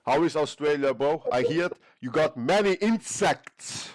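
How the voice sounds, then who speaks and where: A man's voice: on the high side, expressive, measured pace, no echo. A man chatting with strangers on a video chat app, joking around and calling everyone "bro". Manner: teasing, says "bro" constantly.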